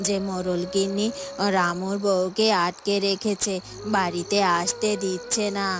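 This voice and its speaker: A woman's voice: mid-range voice, flat delivery, a little slow, natural voice. A woman voicing a concerned, trusting and respectful villager in a cartoon. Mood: respectful, earnest. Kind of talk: Bengali moral-story cartoon dubbing. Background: music.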